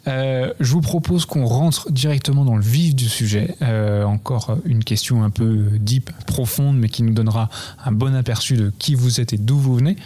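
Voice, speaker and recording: A man's voice: medium pitch, normal speed, mellow. A man hosting a podcast, asking interview questions in an informal but polite, conversational way.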